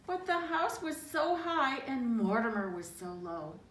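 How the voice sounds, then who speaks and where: A woman reads a picture book to young children with dramatic emphasis. Her fairly high voice moves up and down freely at an unhurried pace. She sounds slightly away from the mic, adding small asides as she goes. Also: very animated, fairly bright tone. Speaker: storybook reader. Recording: roomy, distant mic, faint noise.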